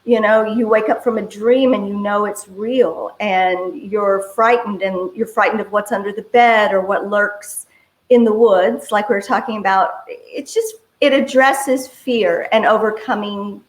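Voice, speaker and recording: A woman's voice: medium pitch, fairly even intonation, a little slow. Echoey-room woman in casual interview. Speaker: film writer-director, interview guest. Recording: roomy, echoing voice.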